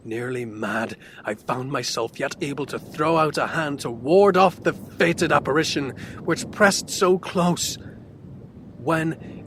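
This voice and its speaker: A man's voice: mid-range voice, natural voice. Man acting a tormented gothic horror outcast, music behind. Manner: theatrical, ornate, swelling into intense emphasis. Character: tormented reclusive outcast recounting his horror, male. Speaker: narrator. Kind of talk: dramatic gothic horror audiobook narration. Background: dark fantasy ambience music.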